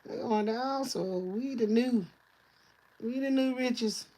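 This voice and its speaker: A man casually chatting on a livestream in a loose, rambling way, his voice going hoarse. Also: extremely high pitch, slow pace, mellow, low-quality recording. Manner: loose, rambling talk with easy laughs. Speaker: livestream host.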